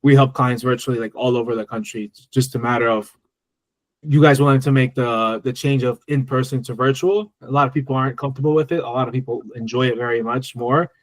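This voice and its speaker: A man's voice: mid-range voice, fairly even intonation, fast talker, no room sound, rough recording. A man giving tax advice in a webinar Q&A, talking loosely with many restarts. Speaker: tax strategist. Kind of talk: webinar Q&A answers. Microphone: video call.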